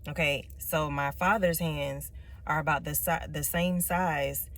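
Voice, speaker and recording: A woman with a rich, low speaking voice, talking emphatically to camera and often repeating her points with a tag question. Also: steady tone, measured pace, a bit bright. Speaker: vlogger. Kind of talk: direct-to-camera personal talk.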